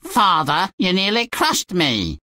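Low-voiced, wildly expressive little Thumbling. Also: female voice, slow, no room sound, heavily acted. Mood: pleading, earnest. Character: tiny put-upon, sardonic fairy-tale child hero Thumbling. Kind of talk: video game character acting.

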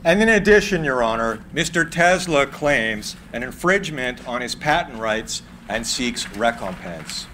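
Man reading formal lawyer, measured delivery, faint hiss. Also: medium pitch, big pitch swings, sharp tone. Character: formal male courtroom lawyer, methodical. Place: gallery room with seated audience.

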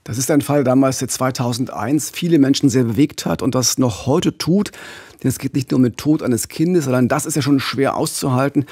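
A middle-aged man co-hosting a true-crime podcast, speaking gravely and in a measured way into a close studio mic. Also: medium pitch, a little mellow, dry recording. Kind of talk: podcast storytelling. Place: small radio studio. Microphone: close studio mic with pop filter.

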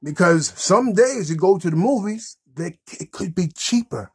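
A man's voice: high voice, expressive, normal speed, husky. A man in his thirties hosting a children's puppet show in casual, improvised chat.

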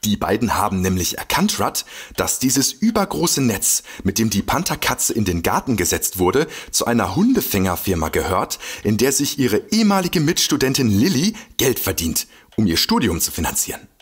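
An actor playing an excitable, boastful detective with a husky voice in a comedy mystery audio drama. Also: male voice, fairly low pitch, normal speed. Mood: proud, explaining. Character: excitable, boastful male detective eager to explain. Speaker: amateur detective.

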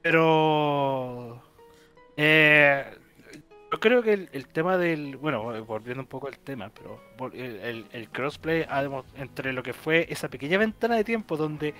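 Chilean co-host, slightly high voice, opinionated, headset call, faint music underneath. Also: male voice, expressive, measured pace, bright, forceful tone. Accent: Chilean Spanish. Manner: opinionated, thinks aloud with restarts. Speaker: video game news co-host. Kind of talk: casual panel discussion. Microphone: headset on video call.

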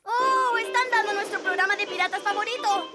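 A woman's voice: very high voice, fairly quick, over a noisy crowd. A woman voicing a small, eager boy kitten in a Latin American Spanish children's cartoon dub. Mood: excited.

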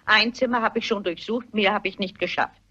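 An actress playing a shrewd elderly amateur sleuth, composed and wry, with a husky voice in a German radio mystery play. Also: female voice, mid-range voice, fairly quick, bright, forceful tone. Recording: muffled radio play recording.

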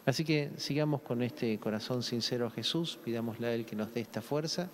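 A man's voice: medium pitch, a little fast, a little hiss or hum. A man in his thirties with a Rioplatense Spanish accent, a priest reading the gospel and giving a conversational homily at a lectern microphone, with frequent filler sounds. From Argentina. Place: large church, indoors. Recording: echoey church hall.

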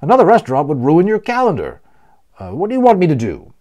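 A man's voice: fairly high pitch, huge pitch swings, quick pace, warm tone, voice pushed up. A man voicing a curious newcomer, a professor in a storybook, who asks questions in an eager, exclamatory way. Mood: anxious, uncertain. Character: curious, impressed male professor, newly arrived guest.

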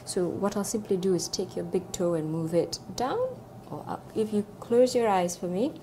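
A woman's voice: mid-range voice, moderate pace, dark tone. A young woman working as a clinician, explaining each step of an exam to a patient and asking simple questions. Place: small clinical exam room. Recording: noisy room recording.

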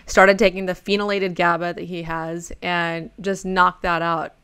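A woman in her forties hosting a podcast over a video call, friendly and casual, laughing easily and filling pauses with um and uh. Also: on the low side, little pitch movement, fairly bright tone, clean tone. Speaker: podcast host. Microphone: close condenser mic.

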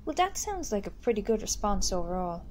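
An Irish woman acting as the chair of a parish committee in an amateur radio drama. She is brisk and businesslike, in an everyday mid-range voice. There is noticeable noise on the recording. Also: mid-range voice, sing-song, a little mellow, close to an everyday voice.

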